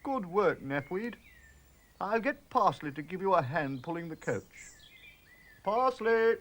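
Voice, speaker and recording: A dithering posh old gentleman. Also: high-pitched, sing-song, a little slow, exaggerated voice. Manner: plummy, bewildered.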